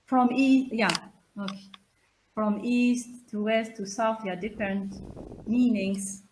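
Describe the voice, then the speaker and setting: A woman speaking as a guest expert in an informal webinar, haltingly and repeating words for emphasis. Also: on the high side, steady tone, darkish tone, a little hiss or hum, poor audio. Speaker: guest expert, English teacher trainer.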